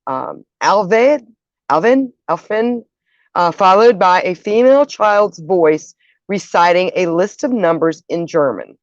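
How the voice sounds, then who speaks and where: A woman with a slightly low voice presents as the host of a show. She reads partly from notes, now and then tripping on a word and correcting it. The sound is dry and close, with a dull, lo-fi edge. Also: dry recording. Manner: stumbles over words and corrects herself.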